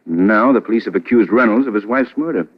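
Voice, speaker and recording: A man acts as a cool gentleman detective in a vintage radio mystery. His lowish voice is composed and sure of itself. The recording sounds old and slightly muffled. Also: a little fast, close to an everyday voice. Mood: determined. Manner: composed, assured. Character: cool, resolute gentleman sleuth. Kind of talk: 1940s radio acting.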